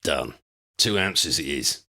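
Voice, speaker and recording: A man voicing a gruff, shady middle-aged wizard dealer who talks in rough slang. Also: a bass voice, expressive, slow, pushed and bright, exaggerated voice. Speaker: voice actor.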